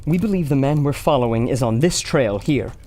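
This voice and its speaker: Man as a lively, polite young scholar. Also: medium pitch, brisk, close to an everyday voice. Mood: earnest. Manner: courteous, earnest. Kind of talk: pulp adventure audio drama acting.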